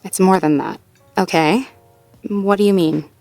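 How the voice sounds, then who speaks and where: A woman's voice: mid-range voice, unhurried, vocal fry, soft background music. A woman playing a sweet, self-doubting, playfully wry girlfriend in an intimate audio roleplay, talking casually and conversationally with a partner. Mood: wry, conceding. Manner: conversational, casual, with sighs and soft chuckles. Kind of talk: intimate slice-of-life audio roleplay acting, one-sided conversation.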